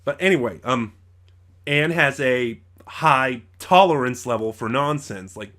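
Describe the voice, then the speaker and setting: A man presents an informal review as host of his own show. He talks conversationally and haltingly, often breaking off and rewording what he has just started to say. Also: medium pitch, animated, a bit bright. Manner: halting, conversational, circles back and rephrases. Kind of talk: informal review to camera or mic, loosely scripted.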